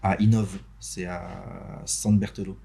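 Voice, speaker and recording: Young man, from Benin, podcast guest, casual, hesitant, fillers, lowish voice. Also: flat delivery, slow pace, vocal fry, warm tone, slight background noise. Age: young adult. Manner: hesitant, many fillers and restarts. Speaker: podcast guest, interview host and motion designer. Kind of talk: casual chat. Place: podcast studio.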